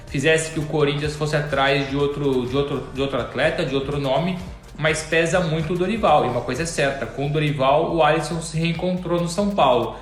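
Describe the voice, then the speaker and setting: A man's voice: fairly high pitch, roomy sound. A man giving football commentary as a pundit on a talk show. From Portugal.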